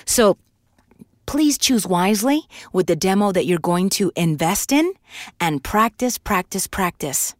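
A woman in her thirties who is a voiceover artist, giving emphatic, animated advice in a casual podcast interview. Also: medium pitch, measured pace, dry recording, studio quality. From Puerto Rico.

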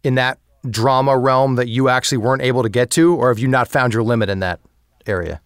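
Man hosting a chat, smooth clear voice, dry close sound, easygoing questions. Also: mid-range voice, fast, no room sound. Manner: relaxed, asks questions, frequent short agreements. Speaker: interview host. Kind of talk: casual interview chat.

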